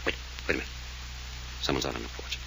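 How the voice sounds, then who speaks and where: An actor playing a brusque, unflappable investigator, curt, commanding and dry, in a 1940s radio mystery. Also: male voice, rumbling low, fast, gravelly. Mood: dry, probing. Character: brusque, unflappable male investigator taking charge. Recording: noisy old radio transcription.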